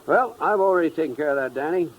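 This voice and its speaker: An actor plays a folksy older male businessman in a vintage radio play. His voice swings animatedly and moves along quickly, plain-spoken and genial. Faint noise sits on the old recording. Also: fairly high pitch, expressive, lo-fi sound, natural voice. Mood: genial, approving. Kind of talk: 1950s radio drama acting.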